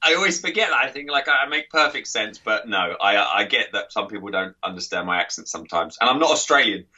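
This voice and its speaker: Brief: English man in his thirties, podcast guest, bright sharp tone, a little far from the mic on a dull line. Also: on the low side, expressive, quick pace. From England. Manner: chatty, laughing, rambling with restarts. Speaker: podcast guest, author and coach. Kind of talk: casual interview chat.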